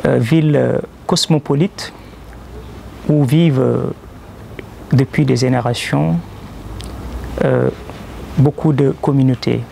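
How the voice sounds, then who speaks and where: Slow, creaky-voiced Senegalese man. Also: mid-range voice, fairly even intonation, dark tone, a little hiss or hum. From Joal, Senegal. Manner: measured, deliberate, with pauses between short phrases. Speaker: poet presenting his own collection. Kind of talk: poetry recitation and explanatory talk about it.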